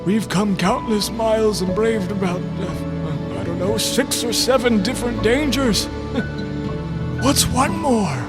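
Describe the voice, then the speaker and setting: A man voicing a small, cranky but devoted wizard in a comedic fantasy audio drama, melodramatic and halting. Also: high-pitched. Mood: dying, tender. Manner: halting, melodramatic, fondly grumpy. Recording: long hall reverb with music behind.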